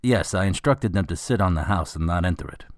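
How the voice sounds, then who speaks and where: Low-pitched male cop, played by an actor, gruff, practical, dryly sarcastic, close dry radio-drama recording. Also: animated, a little fast, no echo. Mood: businesslike. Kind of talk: scripted radio drama dialogue.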